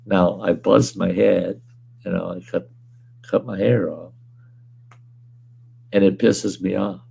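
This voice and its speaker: Hoarse, deep-voiced gravelly man. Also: steady tone, takes it slowly, mellow. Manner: laid-back, rambling, chuckles often, salty language. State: hoarse, weakened voice. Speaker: livestream host. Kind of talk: casual livestream chat reading viewer comments. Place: at home.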